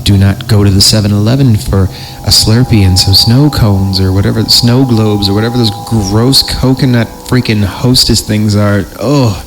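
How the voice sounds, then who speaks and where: A man's voice: on the low side, steady tone, moderate pace, background noise. A man hosting a podcast, reading creepypasta horror stories in a comedic dramatic style with wry joking asides, over music.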